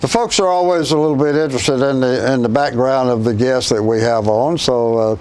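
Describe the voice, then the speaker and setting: A man's voice: mid-range voice, a little fast, a little mellow, a little hiss or hum, rough recording. A man hosting a talk show, introducing and interviewing a guest in a loose, friendly way and stumbling over words.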